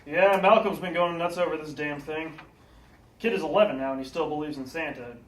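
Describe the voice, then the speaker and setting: A man's voice: fairly high pitch, a little fast, far from the mic, slight background noise, close to an everyday voice. A man acting as an easygoing old school friend who teases the others. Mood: chatty. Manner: easygoing, teasing. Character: laid-back teasing old school buddy. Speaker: friend in a store line. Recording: echoey room, off-mic, faint ambience.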